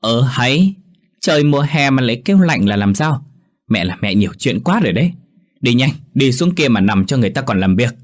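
A man voicing a burly, drunk middle-aged son who scolds his old mother loudly and impatiently. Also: animated, moderate pace. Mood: angry scolding. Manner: loud, scolding, booming.

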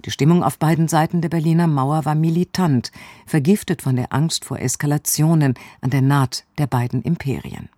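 Radio presenter, scripted narration, medium pitch, soft mellow voice, close dry sound. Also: steady tone, normal speed, no echo. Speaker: radio presenter introducing an audio drama.

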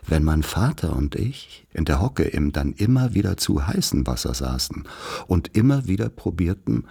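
Very deep-voiced male narrator. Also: creaky, warm tone, breathy edge. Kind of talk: literary scripted narration of childhood memories.

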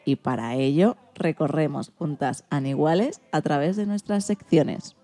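Spanish woman presenting, mellow lowish voice. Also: measured pace. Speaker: host. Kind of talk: scripted programme presentation.

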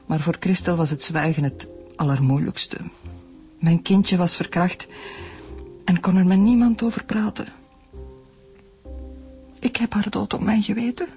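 A woman's voice: lowish voice, a little slow, long pauses, a little mellow, faint music behind. An actress playing an embittered, grieving middle-aged mother and long-abused wife in a radio drama. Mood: grieving, guilty.

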